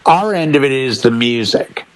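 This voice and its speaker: A man's voice: mid-range voice, expressive, normal speed, a little hiss or hum. A man in a casual panel chat, telling anecdotes and laying things out step by step with emphasis. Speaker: DJ and podcast panelist.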